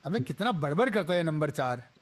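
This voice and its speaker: A man's voice: fairly high pitch, sing-song, a little fast. An Indian man delivering short, teasing game callouts in cheeky street slang. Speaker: gamer voicing in-game voice pack phrases.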